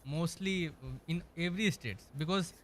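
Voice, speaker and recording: A man's voice: fairly high pitch, moderate pace. A man from India, interviewing a guest, hesitant and full of fillers, who keeps saying "I can say". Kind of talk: casual interview. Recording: noisy background.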